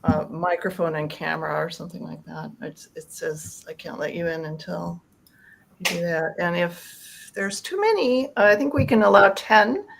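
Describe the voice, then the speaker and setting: Creaky, lowish-voiced woman hosting. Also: lively intonation, moderate pace, slight background noise, poor audio. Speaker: host of the online discussion. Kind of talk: informal hosting chat. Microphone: video call microphone.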